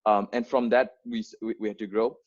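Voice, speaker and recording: A young Bangladeshi-born man with a lowish voice speaks earnestly, often restarting his sentences. His English carries a first-language influence. The sound is muffled and lo-fi, like video call audio. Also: little pitch movement, a little fast. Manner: earnest, frequent fillers and restarts. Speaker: founder giving an onboarding talk. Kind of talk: unscripted presentation to volunteers.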